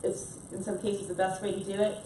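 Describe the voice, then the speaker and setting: A woman's voice: mid-range voice, little pitch movement, a little fast, mellow. Earnest lecturer woman, distant and echoey. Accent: non-Scottish. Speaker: senior lecturer, panelist. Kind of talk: panel discussion remarks. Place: conference room, panel event. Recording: distant, echoey, faint noise.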